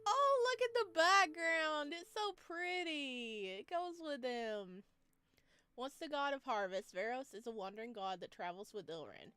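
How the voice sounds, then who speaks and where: High-voiced woman doing game commentary. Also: expressive, a little slow, bright, forceful tone. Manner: reactive, giggly, exclaiming. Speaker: game streamer. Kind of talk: visual novel playthrough commentary, reading text aloud. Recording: game music behind voice.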